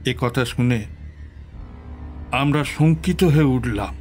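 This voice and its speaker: Man playing a slow, grief-stricken father in audio drama. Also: mid-range voice, a little mellow, natural voice. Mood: grave, anxious. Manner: slow, solemn, mournful delivery with pauses. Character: grieving, heartbroken father reading out his sorrowful letter. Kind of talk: Bengali audio drama acting.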